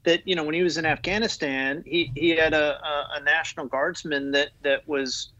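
A man's voice: fairly high pitch, steady tone, normal speed, pushed and bright, no room sound, highs cut off. A man talking as an Army guest on a podcast, eager and loose, with many fillers and restarts. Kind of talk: conversational podcast interview.

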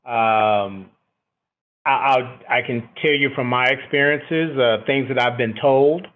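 Over a narrow, phone-like connection, a middle-aged man talks as an interview guest. He has a booming voice at an average pitch. His delivery is conversational and reflective, often checking that the listener follows. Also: slow. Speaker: military officer, podcast guest. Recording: thin, phone-like band-limited line.

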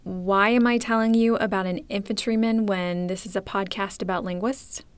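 A woman hosting a podcast, reading scripted documentary narration in a clear, measured storytelling manner. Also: mid-range voice, fairly even intonation, no room sound. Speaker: podcast host.

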